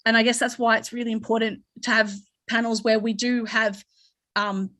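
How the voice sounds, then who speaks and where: Australian woman panelist, higher voice, talkative, halting and rambling. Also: level tone, a bit bright. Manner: rambling, many restarts and fillers. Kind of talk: conference panel discussion.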